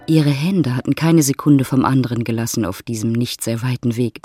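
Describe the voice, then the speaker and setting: A man's voice: mid-range voice, level tone, moderate pace, music in the background, natural voice. A man narrating a love story in a literary audio drama. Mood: composed narrating.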